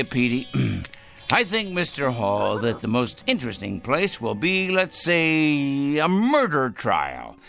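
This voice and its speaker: An actor playing a fussy, paranoid crank in a radio drama, with a theatrical, eccentric, self-satisfied ranting manner. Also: male voice, on the high side, pushed and bright, heavily acted. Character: fussy, paranoid male crank obsessed with punishing evildoers.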